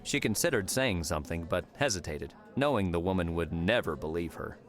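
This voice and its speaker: A man narrating a novel as an audiobook, in a measured storytelling manner. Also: low-pitched, expressive, faint music behind. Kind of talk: scripted fiction narration.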